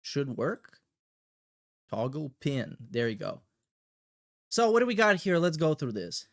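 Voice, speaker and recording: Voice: mid-range voice, sing-song, a little slow, creaky. A livestream host chatting casually and reacting in a blunt, heavily profane way.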